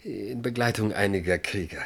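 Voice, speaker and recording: An actor playing a proud, pragmatic Dakota chief and father in a German radio drama, grave and measured. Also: lowish voice, vocal fry, a bit bright, husky, heavily acted. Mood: defensive, firm.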